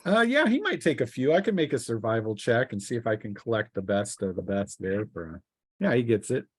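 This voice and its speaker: Halting man running a tabletop game. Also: medium pitch, very animated, slightly rough. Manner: halting, restarting descriptions mid-sentence. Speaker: game master. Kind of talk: improvised tabletop roleplaying narration.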